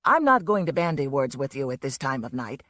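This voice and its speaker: A woman's voice: a bass voice, very animated, brisk, a bit bright, no echo, clean studio sound, pitched below the performer's own voice, exaggerated voice. A woman voicing an irritated middle-aged man who was woken at night, speaking in a curt, stiff way.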